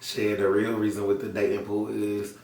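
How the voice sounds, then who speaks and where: A man's voice: lowish voice, completely flat delivery, normal speed, a little mellow, reverberant, distant mic, a little hiss or hum. A man co-hosting a casual podcast, laid-back and teasing, often answering in short replies. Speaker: podcast co-host.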